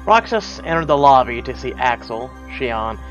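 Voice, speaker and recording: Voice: medium pitch, big pitch swings, moderate pace, soft background music. Blunt, sweary game commentator, muffled sound. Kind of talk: casual reaction commentary over game cutscenes.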